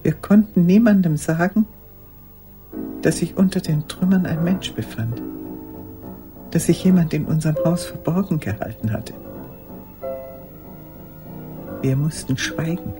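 An actress playing an old woman haunted by a lost love, speaking in a halting, intimate radio drama monologue. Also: low voice, over music, natural voice. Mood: urgent, anguished. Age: older. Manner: halting, intimate, sometimes dropping to a whisper.

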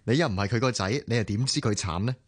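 A man's voice: lowish voice, quick pace, natural voice. An actor in his twenties with a Hong Kong Cantonese accent playing a cheeky younger brother in a radio drama, playful and teasing. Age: 20s. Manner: playful, teasing, laughs often.

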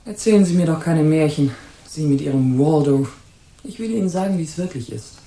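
A woman's voice: sits low, animated, fairly quick, dark tone. An actress playing a haughty, suspicious and scornful married woman in a German radio crime drama. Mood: scornful, accusing. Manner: disdainful, imperious, guarded. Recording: roomy echo.

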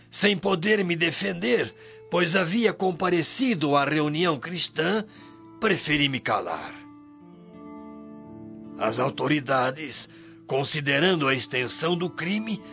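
A humble old sailor telling his life in a radio drama, with a Brazilian accent. The voice is creaky and slow, rising and falling widely with solemn feeling. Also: on the high side, bright, forceful tone, heavily acted. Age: older. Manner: solemn, emotive storytelling. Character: weary, humble old Roman sailor recounting his past. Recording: muffled radio drama with music behind.

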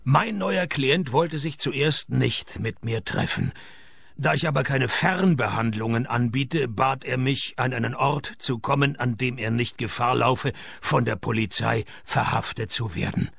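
An actor playing a wry, hard-drinking private detective in a radio play, dry and sardonic. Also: male voice, mid-range voice, a little slow, vocal fry, a bit bright, breathy edge, full character voice. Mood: dry, laconic.

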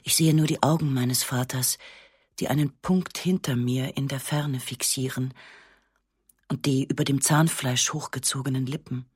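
A woman reading a literary first-person story in a sober, measured, matter-of-fact way. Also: very deep voice, fairly even intonation, a little mellow, husky, dry recording, studio quality. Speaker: narrator.

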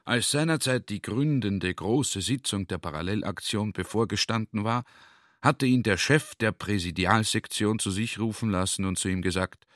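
A man's voice: fairly low pitch, moderate pace, a bit bright, dry recording, studio quality. A man reading literary narration for a radio play, with stretches of dialogue. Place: dry radio studio.

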